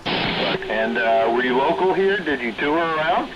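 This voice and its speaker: A middle-aged man in casual chat over CB radio, speaking in halting, hesitant phrases. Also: a little high, animated, a crowd in the background. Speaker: CB radio operator. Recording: noisy CB radio transmission.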